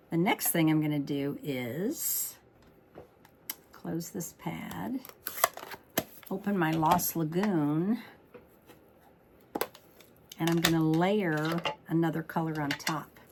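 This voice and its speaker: Woman, craft tutorial host, somewhat deep and a bit froggy, informal thinking-aloud delivery, light noise and clicks behind. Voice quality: slightly froggy. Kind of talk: chatty card-making demonstration.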